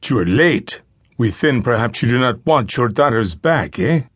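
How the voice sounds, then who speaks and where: A man's voice: medium pitch, lively intonation, measured pace, breathy edge, dry recording. Guy doing a sneering gang boss, spanish accent, cocky and threatening, audiobook thriller, dry close sound. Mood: menacing. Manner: taunting, sneering.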